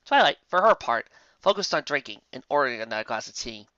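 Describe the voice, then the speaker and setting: An animated storyteller reads scripted fiction with emphatic, dramatic phrasing. The voice is bright and lively in inflection, recorded close and dry with slightly dull, lo-fi sound. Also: medium pitch, quick pace, no room sound. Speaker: narrator.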